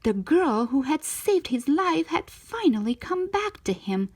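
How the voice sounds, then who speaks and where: A woman narrating a children's storybook in an expressive read-aloud style, with a breathy voice. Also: high voice, unhurried.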